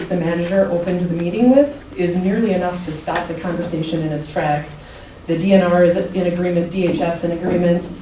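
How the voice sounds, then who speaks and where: A woman from the Midwest giving public comment at a council meeting, firm and deliberate. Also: fairly low pitch, warm tone. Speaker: resident speaking at public comment. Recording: echoey room, distant mic, faint noise.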